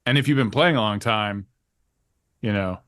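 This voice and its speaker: A middle-aged man with a naturally deep voice that he pushes up, chatting in a loose, rambling way as an interviewee. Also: moderate pace, no echo, clean studio sound. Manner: rambling, animated, self-interrupting. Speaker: channel host and former game company staffer. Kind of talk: casual interview chat.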